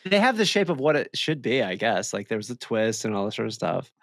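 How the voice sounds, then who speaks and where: A man chatting casually as a podcast co-host, critiquing in an animated way. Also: lowish voice, a little fast, vocal fry, no room sound, clean studio sound. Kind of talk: casual chat and banter.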